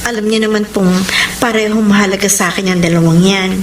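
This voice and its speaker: A woman's voice: mid-range voice, fairly even intonation, fast, a little hiss or hum, lightly acted. An actress with a Filipino accent playing a lovelorn, forgiving woman, a devoted daughter and elder sister, in a radio drama. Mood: warm, content. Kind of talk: Filipino radio drama acting and first-person letter narration. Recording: roomy, distant sound under loud music.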